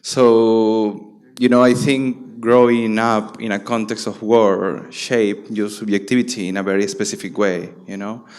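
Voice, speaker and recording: A man in his late thirties from Peru, a curator speaking on a panel in a halting way, with many fillers and restarts. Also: on the low side, flat delivery, darkish tone, clean tone. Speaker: guest curator. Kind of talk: panel conversation.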